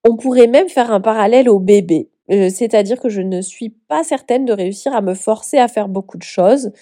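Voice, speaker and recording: A woman's voice: medium pitch, fairly quick, mellow. Congested woman with a southern French lilt. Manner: chatty, repeats herself, many fillers. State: head cold, blocked nose. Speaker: life coach and podcast host. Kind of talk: casual solo podcast monologue.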